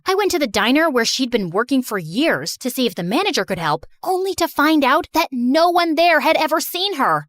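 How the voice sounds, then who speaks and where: A high-voiced, very lively teenage girl played by a woman narrating an animated story. She is earnest and expressive, every line dramatically shaped, and the recording is close and dry. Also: no room sound. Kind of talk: dramatic first-person scripted narration.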